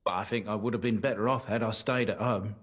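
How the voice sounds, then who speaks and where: An actor playing a humble, earnest merchant in a fantasy video game, speaking in a plain, folksy and rueful way. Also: male voice, lowish voice, fairly even intonation, fast, a bit bright, husky.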